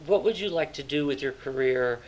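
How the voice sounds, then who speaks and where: A man in his thirties hosting an interview in a small studio, eager and chatty with quick agreements. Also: mid-range voice, over a noisy crowd, low-quality recording. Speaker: talk show host. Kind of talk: casual interview. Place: small studio set with couch.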